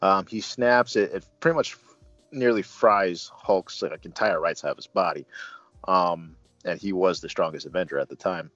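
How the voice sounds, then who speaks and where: A man's voice: low voice, moderate pace, fairly bright tone. A man casually talking through a film as a review host, conversational with playful asides. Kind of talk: casual film discussion, recapping scenes. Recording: roomy, dull sound with music.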